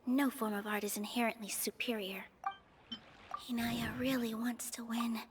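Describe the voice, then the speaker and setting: An actress playing a gentle but determined young female theater performer, speaking earnestly and carefully in video game dialogue. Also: a little high, flat delivery, moderate pace, background noise, natural voice. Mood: thoughtful, hushed.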